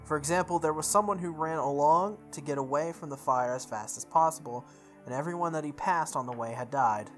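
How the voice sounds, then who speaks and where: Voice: mid-range voice, fairly quick, fairly bright tone. A person reading visual novel text aloud for a playthrough, stumbling over words and repeating phrases, with offhand asides. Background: music.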